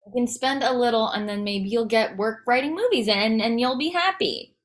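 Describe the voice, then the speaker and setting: A woman's voice: on the high side, normal speed, close to an everyday voice. A woman at a script table read playing a candid, coaxing wife, direct and conversational. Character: candid, coaxing wife urging her husband toward his dreams.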